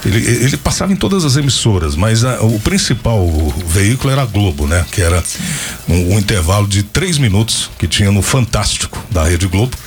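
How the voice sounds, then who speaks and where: A man's voice: lowish voice, big pitch swings, a little fast, soft background music. A man in his fifties hosting a radio show, chatting casually and rambling off into stories and asides. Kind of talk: casual on-air chat and storytelling.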